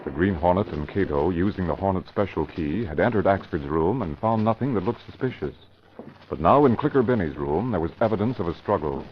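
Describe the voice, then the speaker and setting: A man narrating a radio drama in a measured, dramatic storytelling manner. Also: deep voice, expressive. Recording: dull old radio broadcast.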